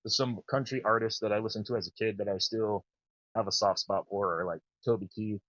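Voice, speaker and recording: A man in his thirties with a naturally low voice, chatting casually and enthusiastically as a podcast host. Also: fairly even intonation, fairly quick, fairly bright tone, no echo, lo-fi sound. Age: 30s. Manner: rambling, enthusiastic. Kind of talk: casual chat.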